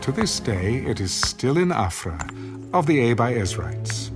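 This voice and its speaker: A man with a mid-pitched voice narrates a dramatized scripture story. He reads from a script as the storyteller, with music clearly playing behind him. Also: sing-song, a little slow.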